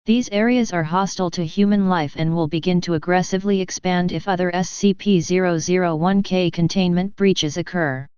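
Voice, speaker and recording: A woman reading scripted narration of a formal document in a measured, impersonal manner. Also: lowish voice, darkish tone, clean tone, no room sound, dull audio.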